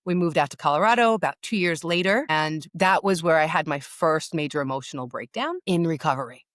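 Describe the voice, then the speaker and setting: A woman's voice: deep voice, a little fast, fairly bright tone, dry recording, clean studio sound. Middle-aged woman with a New York accent. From New York, USA. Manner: candid, emphatic, repeats words for stress. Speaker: podcast guest, loss and empowerment coach. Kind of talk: personal storytelling in a podcast interview.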